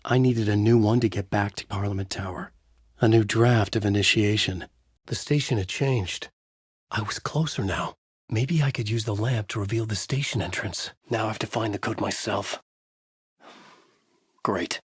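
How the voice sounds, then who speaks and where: An actor playing a brooding, haunted male writer who gravely narrates his own horror story in a husky voice for a video game. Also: on the low side, normal speed, dry recording, studio quality. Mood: brooding, ominous.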